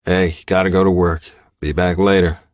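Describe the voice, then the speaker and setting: A man voicing a gruff, cocky young biker with blunt manners in an audiobook. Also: deep voice, little pitch movement, normal speed, no echo, clean studio sound, close to an everyday voice.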